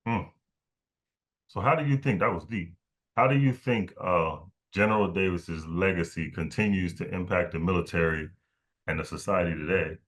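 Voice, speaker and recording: A man with a low voice hosts a conversational interview. He speaks as the interviewer, putting questions to his guest. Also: normal speed, a bit bright. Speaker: podcast host, interviewer.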